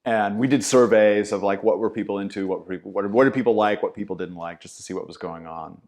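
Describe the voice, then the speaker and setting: A middle-aged man with a low-pitched voice talks easily as a podcast guest. He is an acting teacher who tells stories and acts out other people's lines with a lot of animation, salty words included. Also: quick pace. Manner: animated storyteller, acts out quotes with swearing. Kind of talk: casual conversational interview.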